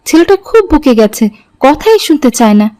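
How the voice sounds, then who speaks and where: A woman playing a devout, gentle mother in an Islamic cartoon, speaking in a measured, instructive way. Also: high-pitched, sing-song. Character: devout, gentle mother guiding her children.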